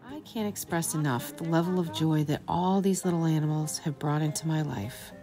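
A middle-aged woman giving a gentle, reflective scripted voice-over in a storytelling style. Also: deep voice, moderate pace, faint music behind. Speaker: vlog narrator.

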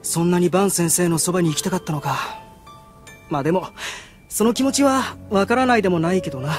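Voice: mid-range voice, quick pace, natural voice. An actor voicing a candid teenage boy hero in an anime audio drama, casual and blunt. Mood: thoughtful. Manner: casual, blunt boyish speech. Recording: music behind the voice.

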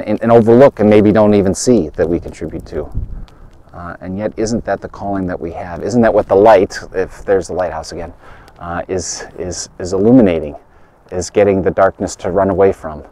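Earnest middle-aged pastor, low mellow voice. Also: male voice, dry recording. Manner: earnest, halting, with frequent restarts and rising emphasis. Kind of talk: outdoor video sermon. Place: outdoors by a snowy lakeshore in winter.

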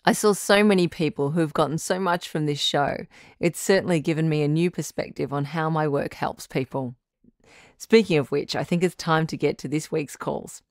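A woman's voice: deep voice, no room sound, studio quality. A woman playing a frank, reassuring doctor who hosts a radio call-in advice show, encouraging and matter-of-fact in a conversational style. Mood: cheerful, welcoming.

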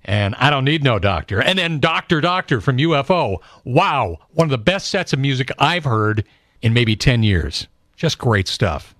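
A middle-aged American man hosts classic rock radio in a conversational, upbeat way. His voice sits at a middle pitch. The studio sound is close and very dry, with no room around it. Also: big pitch swings, normal speed, a bit bright, no echo. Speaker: radio DJ and show host. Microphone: close studio broadcast microphone.

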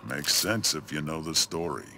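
An actor playing a big, gruff, world-weary demon brawler in a video game, with a gravelly, deadpan delivery. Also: male voice, a bass voice, exaggerated voice. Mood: gruff, unimpressed. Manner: deadpan, unhurried. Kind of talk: video game character dialogue. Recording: game audio with background noise.

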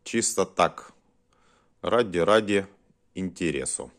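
A man giving casual hands-on commentary as a video host, talking haltingly in short muttered phrases. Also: deep voice, lively intonation, fairly bright tone. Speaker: host of a cassette deck test vlog.

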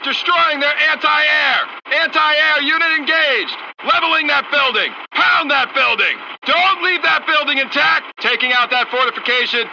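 Forceful American tank commander over radio. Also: male voice, very high voice, gravelly, heavily acted. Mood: urgent, aggressive. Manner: terse, brisk, forceful. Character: gung-ho American military tank crew commander. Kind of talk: clipped military unit voice lines in a strategy game. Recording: dull radio sound with faint noise.

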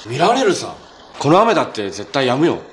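A young adventurer hero from a TV superhero drama, friendly, gentle and resolute. His delivery swings freely in pitch as he talks in an earnest, casually polite way. A faint noise sits behind the dialogue. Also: huge pitch swings, a little fast. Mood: earnest, reassuring. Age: young adult. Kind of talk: live-action TV drama dialogue.

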